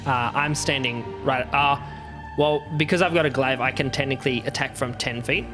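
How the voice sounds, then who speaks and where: Male tabletop player, medium pitch, informal rules explainer, audible music under the voice. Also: fairly even intonation, normal speed. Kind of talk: casual rules talk at a game table. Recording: music playing behind the voice.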